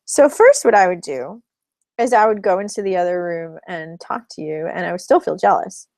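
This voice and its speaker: A woman's voice: on the low side, moderate pace, a little mellow, dry recording. A woman relationship coach teaching a live webinar in a chatty, explanatory way, laughing between points.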